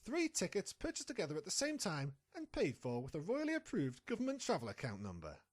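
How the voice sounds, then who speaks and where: Scheming pony reporter, played by a woman: husky, hugely animated delivery, confident and impatient, for an audio drama. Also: fairly low pitch, fairly quick. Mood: searching. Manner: confident, conniving, impatient with a partner. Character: sly, scheming pony reporter chasing a scoop. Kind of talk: fan audio drama acting.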